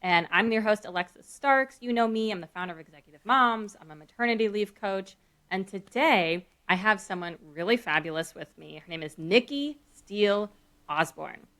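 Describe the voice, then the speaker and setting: A woman hosting a podcast, speaking in an upbeat, enthusiastic way at a pitch typical for a woman. Her manner is casual and chatty as she welcomes a guest. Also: moderate pace, fairly bright tone. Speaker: podcast host, maternity leave coach.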